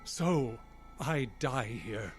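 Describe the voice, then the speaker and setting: A man's voice: mid-range voice, animated, drawn-out words, music in the background. An actor playing a stubborn older grandfather held captive, terse and defiant, in an audio drama. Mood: wary.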